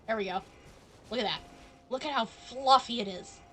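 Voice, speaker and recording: A woman's voice: a little high, normal speed, sharp tone, a little hiss or hum. A woman game streamer chatting casually and playfully, tossing teasing asides at the game as she plays. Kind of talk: casual live-stream chat while gaming.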